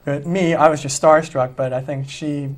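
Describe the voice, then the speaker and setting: A man's voice: a little high, fairly even intonation, normal speed. A man who plays in a tribute band, talking earnestly and reflectively in an interview, with children of his own. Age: adult with children. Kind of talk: interview conversation.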